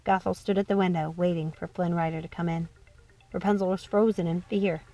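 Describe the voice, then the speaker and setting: A woman reading a dark storybook tale as a dramatic audiobook narrator, with music playing behind her. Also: lowish voice, fairly even intonation, a little fast. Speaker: audiobook reader.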